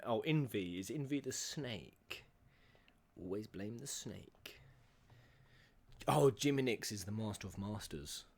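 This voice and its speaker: Male gamer reactor, very lively and swooping delivery, playful and theatrical, casual asides. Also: mid-range voice. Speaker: gamer reacting to video game cutscenes.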